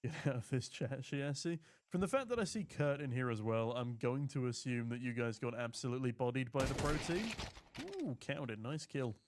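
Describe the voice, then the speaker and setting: A man's voice: medium pitch, moderate pace. A man from the UK casting an esports match alone, calling the play and chatting with viewers in the chat. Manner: chatty, jokey, reads viewer chat aloud between play calls. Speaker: esports caster. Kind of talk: solo esports commentary with chat reading.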